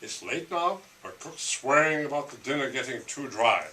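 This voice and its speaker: A man's voice: mid-range voice, animated, normal speed, bright, forceful tone. A man playing a formal, deferential household butler at a stage play table reading. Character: deferential male household butler serving a society hostess. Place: library room. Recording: distant mic, faint room noise.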